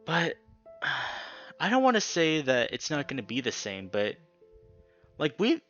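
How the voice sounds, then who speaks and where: Bright-voiced man commentating on a game. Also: on the high side, huge pitch swings, unhurried, dull audio. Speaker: let's play host. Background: guitar music.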